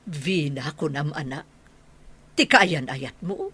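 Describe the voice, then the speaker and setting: A woman playing a protective, testing mother of a grown son in a radio drama, with a husky voice and an Ilocano accent. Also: sits low, animated, slow, sharp tone, full character voice. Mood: questioning.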